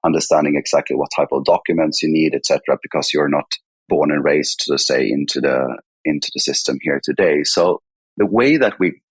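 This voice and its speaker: Very deep, husky Swedish man. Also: fairly quick, no echo. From northern Sweden. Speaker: podcast guest, company chief executive. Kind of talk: conversational interview answers.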